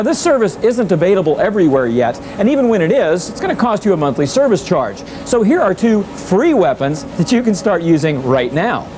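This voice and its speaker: A man's voice: high-pitched, a little hiss or hum. A man with an American accent narrating a scripted consumer report for television news.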